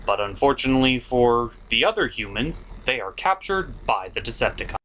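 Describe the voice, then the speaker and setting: A man's voice: medium pitch, big pitch swings, a little fast, sharp tone, slight background noise, rough recording. A man narrating a scripted review in a sarcastic, mocking, sometimes profane manner. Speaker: cartoon reviewer, host.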